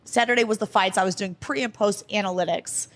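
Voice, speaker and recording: Young american woman, podcast guest, talks fast and slangy, animated. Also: medium pitch. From United States. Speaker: guest, fighter and commentator. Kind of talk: casual interview chat.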